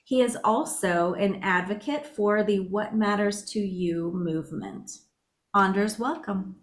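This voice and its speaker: Female podcast host, supportive and patient, mid-range pitch. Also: unhurried. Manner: encouraging, lots of backchannel murmurs. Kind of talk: podcast interview.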